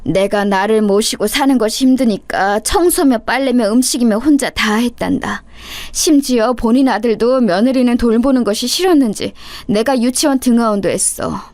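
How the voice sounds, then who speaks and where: A woman's voice: a little high, darkish tone, dry recording, natural voice. Woman doing a weary old mother. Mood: sorrowful. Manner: slow, grave and sorrowful. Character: weary, long-suffering old mother-in-law.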